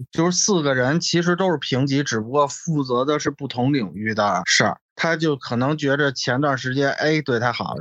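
A man's voice: mid-range voice, fairly bright tone, dry recording, lo-fi sound. A man griping casually in blunt, slangy, sweary talk. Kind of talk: casual chat.

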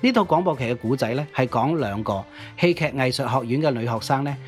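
A middle-aged man with a Hong Kong accent hosting a music-history talk show, telling stories in an animated way with exclamations and rhetorical questions. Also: medium pitch, fast talker. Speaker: radio DJ and music history podcast host. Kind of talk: conversational music-history commentary.

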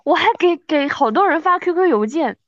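High-voiced woman in her thirties, casual chat. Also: animated, a little fast, fairly bright tone, no room sound, muffled recording. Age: 30s. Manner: self-deprecating, repeats words emphatically. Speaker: podcast host. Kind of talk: casual chat between old friends.

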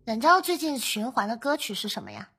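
A woman's voice: fairly high pitch, wildly swooping pitch, fairly quick, pushed and bright, slightly rough. A woman hosting a podcast in a casual, conversational style. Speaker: podcast host.

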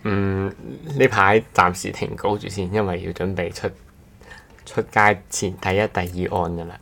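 A man's voice: sits low, level tone, moderate pace, creaky, a bit bright. A man with a Cantonese accent chatting casually as a podcast guest, joking and poking fun at himself. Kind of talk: casual chat.

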